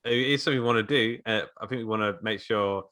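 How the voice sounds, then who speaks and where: A man's voice: on the low side, fast, bright, forceful tone. A man who teaches writing, speaking casually as a guest in a podcast conversation.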